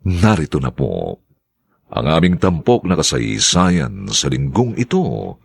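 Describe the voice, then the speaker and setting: A man introduces a radio drama in a grand, declamatory style and stretches out the title. His voice is very husky and raspy. His pitch swoops widely from line to line. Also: huge pitch swings. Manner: sweeping, declamatory, drawn-out title readings. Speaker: radio drama announcer and narrator. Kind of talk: theatrical radio announcing.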